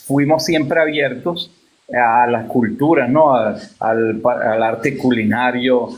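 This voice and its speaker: A man on a live panel, an actor panelist, making quick emphatic remarks. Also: medium pitch, steady tone, low-quality recording. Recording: faint background hiss.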